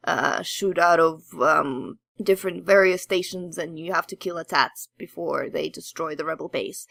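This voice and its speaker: A woman's voice: lowish voice, vocal fry, a bit bright, no echo, clean studio sound. A woman co-hosting a podcast, chatting casually about games in a hesitant, rambling way. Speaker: podcast co-host. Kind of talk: casual gaming discussion.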